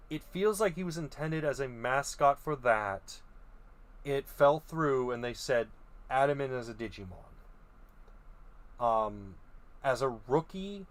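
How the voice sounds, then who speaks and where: A man's voice: medium pitch, a bit bright. Hesitant, musing young man. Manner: hesitant and musing, frequent ums and restarts. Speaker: video host reviewing creature designs. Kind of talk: casual opinion commentary.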